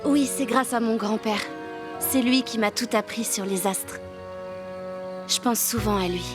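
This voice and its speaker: High-voiced, friendly cartoon girl guide played by a woman. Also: measured pace, over music, natural voice. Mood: fond. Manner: cheerful, explanatory. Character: friendly, knowledgeable young girl city guide. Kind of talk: animated cartoon dub.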